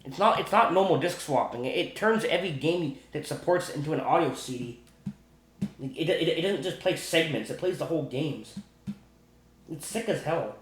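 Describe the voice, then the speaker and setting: A man's voice: on the high side, lively intonation, normal speed. A man giving rambling, casual gaming commentary, stumbling over and repeating his words, with slangy enthusiasm. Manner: repetitive, stumbling, restarts sentences often. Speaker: gaming video creator. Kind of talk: rambling casual commentary on video game glitches. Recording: roomy, echoing home recording.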